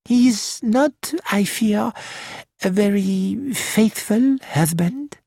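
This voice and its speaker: A woman's voice: medium pitch, takes it slowly, creaky, dark tone, dry recording. A woman reading the part of a fussy elderly male detective with a French-flavoured manner, polite and precise. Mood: courteous.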